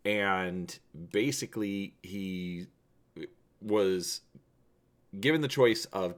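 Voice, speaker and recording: Deep-voiced, animated male storyteller. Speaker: channel host and narrator. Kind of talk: dramatic storybook reading and presenting.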